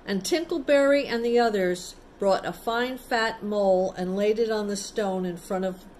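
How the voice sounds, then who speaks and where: A woman's voice: mid-range voice, moderate pace, darkish tone, a little hiss or hum, poor audio. A woman storyteller reading a picture book aloud to children, adding simple explanatory asides in a casual, child-directed way.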